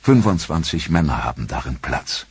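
A man narrating a history documentary in a measured, even storytelling delivery. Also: a bass voice, vocal fry, husky, no room sound.

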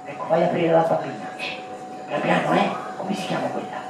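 A man performing a loud, clowning comic act for children on a holiday camp stage. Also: fairly high pitch, fairly quick, vocal fry. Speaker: entertainer. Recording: echoey, far from mic, with crowd noise.

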